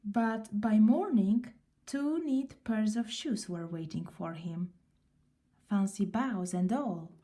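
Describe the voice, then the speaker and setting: A woman reading a children's story aloud in an unhurried, expressive way. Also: mid-range voice.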